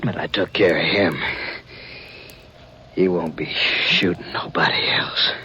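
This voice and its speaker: A very low, slow-talking rural American outlaw struggles along, weak and breathy from his wounds. He is boastful and desperate, his words halting, in a 1950s radio western on a dull old recording. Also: steady tone, bright, forceful tone, whispered, full character voice. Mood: boastful, weary. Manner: halting, laboured, folksy drawl. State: weak, gravely wounded, acted. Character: dying, boastful male outlaw, stubborn and desperate. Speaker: supporting actor in a radio western. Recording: dull vintage radio recording, music behind.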